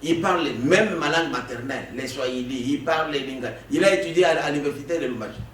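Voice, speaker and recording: A man from the Congo giving an animated political interview, emphatic and prone to stammering restarts. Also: a little high, fast. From Democratic Republic of the Congo. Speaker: political guest. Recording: echoey room, distant mic, faint noise.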